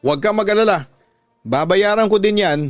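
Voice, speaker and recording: A man's voice: sits high, brisk, dry recording, clean studio sound, faint music behind, voice pushed up, close to an everyday voice. A man playing a proud, domineering father who scolds and boasts in a radio drama. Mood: boastful. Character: proud, angry, domineering father of a grown daughter. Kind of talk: Filipino radio drama acting.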